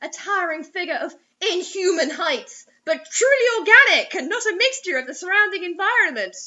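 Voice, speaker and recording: A young woman reads a story aloud in a high, bright voice. Her delivery is theatrical and sarcastic, breaking into mocking commentary. The sound is dull and lo-fi, like a webcam in a small room. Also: expressive, fairly quick. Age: young adult. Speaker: co-host reading a story aloud.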